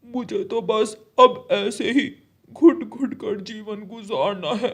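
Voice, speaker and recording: Cartoon crow, male: very high-pitched, plaintive schemer milking pity male actor. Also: takes it slowly, fairly bright tone, husky, exaggerated voice. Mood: mournful, self-pitying. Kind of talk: Hindi moral-story cartoon voice acting.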